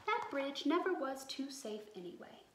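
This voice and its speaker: A woman voicing a bold, quick-witted young country girl in a storybook, sweetly polite and cunning. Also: high voice, sing-song, voice pushed up. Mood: matter-of-fact. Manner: pleading, sweetly cunning folksy talk. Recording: roomy echo, some background noise.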